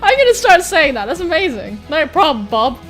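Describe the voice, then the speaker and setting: A woman with a fairly high voice hosts a visual novel read-through. She moves between narration and playful, irreverent casual commentary, expressively delivered, with game music behind her. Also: big pitch swings, moderate pace, fairly bright tone. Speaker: gaming video host.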